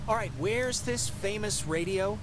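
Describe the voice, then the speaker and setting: A man's voice: high voice, measured pace, noisy background. A young actor playing an earnest, worried American teenage boy in naturalistic, casual game dialogue. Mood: casual, hopeful. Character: earnest, worried teenage stepbrother in supernatural thriller. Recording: loud music behind voice.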